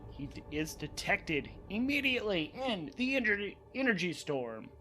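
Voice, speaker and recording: A man's voice: high voice, sing-song, moderate pace, pushed and bright, slight background noise. A man hosting and narrating a solo tabletop role-playing game, thinking aloud as he goes. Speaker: host, narrator and game master. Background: background music.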